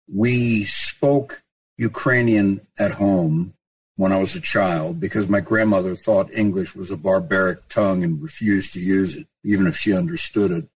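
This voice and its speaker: A man's voice: on the low side, breathy edge, distant mic, rough recording. An older man from Ohio, a guest archaeologist telling stories about his early life in a dry, wry way. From Ohio, United States. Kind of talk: interview answers.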